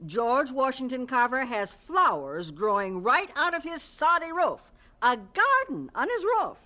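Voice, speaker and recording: An actress playing an excitable, gossipy rural townswoman on the prairie in a radio drama. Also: high-pitched, unhurried, a little hiss or hum, heavily acted. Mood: gossipy, marveling.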